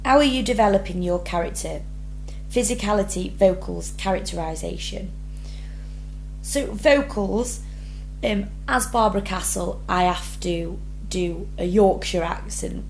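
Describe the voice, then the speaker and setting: A woman's voice: medium pitch, a little slow, creaky, darkish tone, a little hiss or hum, low-quality recording. A young woman drama student, talking earnestly through her rehearsal blog and reading each question aloud before answering. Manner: earnest, many ums, reads prompts then answers.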